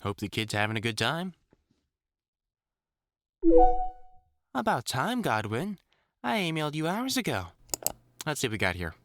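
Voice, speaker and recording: A man playing a gruff but caring, experienced vampire hunter in an audio roleplay, middle-aged, talking informally with dry, teasing asides. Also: medium pitch, wildly swooping pitch, a little fast, a bit bright. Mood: dry, irritable muttering. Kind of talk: intimate audio roleplay acting.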